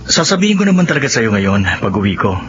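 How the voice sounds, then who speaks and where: An actor playing an evasive, defensive middle-aged husband with a Filipino accent in a radio drama. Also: male voice, mid-range voice, sing-song, fast talker, fairly bright tone. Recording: muffled radio recording with faint noise.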